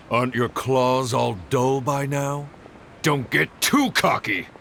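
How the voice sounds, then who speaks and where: A man's voice: mid-range voice, steady tone, slow pace, vocal fry, fairly bright tone, slight background noise. A man playing a cocky, mocking villain, the boss of a kidnapping mercenary gang in a video game. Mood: taunting. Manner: mocking, smug. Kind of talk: English video game voice acting.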